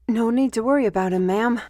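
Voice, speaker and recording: A woman playing a young, learned squire in an audio roleplay, explaining things in an animated, chatty manner. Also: on the high side, natural voice. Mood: reassuring, excited.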